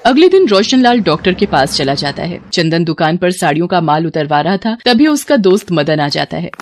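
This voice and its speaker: A woman's voice: fairly low pitch, sing-song, normal speed, a little hiss or hum. A woman reading scripted narration for a moral story as its narrator.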